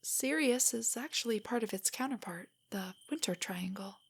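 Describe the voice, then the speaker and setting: A young woman playing a nervous, nerdy, affectionate girlfriend in an intimate roleplay audio, speaking softly and breathily in a giggly, rambling way. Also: a little high, animated, normal speed, heavily acted. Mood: soft, earnest. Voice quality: breathy, often near a whisper. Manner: rambling, giggly, hesitant, explaining trivia. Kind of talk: roleplay audio, intimate soft monologue to a listener.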